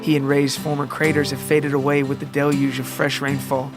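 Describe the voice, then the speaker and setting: A man's voice: on the high side, monotone, fairly quick. A man hosting and narrating his own scripted storytelling podcast. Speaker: podcast writer, narrator and host. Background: guitar music and rain.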